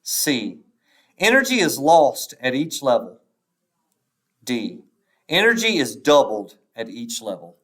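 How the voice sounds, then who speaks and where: A man speaking as a teacher, reading test questions aloud in a deliberate, instructional way with pauses between the choices. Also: medium pitch, wildly swooping pitch, a bit bright.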